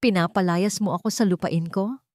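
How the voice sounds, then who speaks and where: A woman's voice: on the low side, moderate pace, darkish tone, no echo, studio quality, close to an everyday voice. Woman voicing a stern, domineering old patriarch. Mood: outraged, threatening. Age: older. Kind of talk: dramatic Tagalog audio story.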